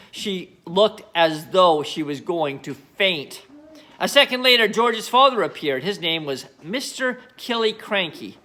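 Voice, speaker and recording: A man reading a children's book aloud as an expressive narrator. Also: a little high. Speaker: storybook reader.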